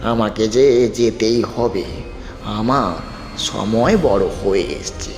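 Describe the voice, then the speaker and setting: A man's voice: fairly low pitch, slow, a little mellow. A man acting as a dying, resigned sick man in an audio horror tale. His speech drags and halts with weariness, in a long echoing reverb over music. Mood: weary resignation.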